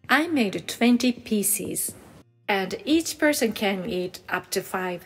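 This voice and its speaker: A woman reading scripted translations for learners in a measured, instructional way. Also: medium pitch, animated, creaky, husky, big-room sound, faint music behind. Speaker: translation reader.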